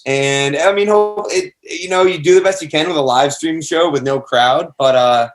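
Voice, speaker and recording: A man's voice: a little high, moderate pace. A young American man in a band, telling stories in a casual, slangy, rambling way during an interview. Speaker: band member being interviewed. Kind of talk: casual chat.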